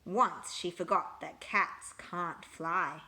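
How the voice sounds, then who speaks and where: A woman reading a children's picture book aloud with expressive, emphatic storytelling. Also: on the low side, slow.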